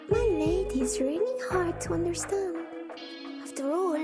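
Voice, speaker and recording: A woman playing a proud, eccentric young woman in a video game, with a theatrical drawl. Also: very high-pitched. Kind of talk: scripted video game voice acting. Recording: loud background music.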